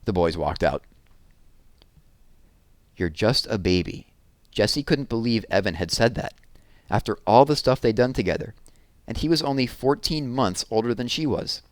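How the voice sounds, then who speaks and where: A man reading a children's novel aloud with expressive storytelling. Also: mid-range voice, a little fast, no echo. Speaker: reader.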